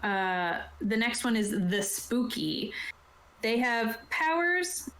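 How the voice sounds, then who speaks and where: A woman acting as game master, casually explaining rules from a book in an informal, halting way. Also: fairly high pitch, sing-song, fairly bright tone. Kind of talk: casual explanatory talk, reading from a rulebook. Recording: roomy, echoing sound.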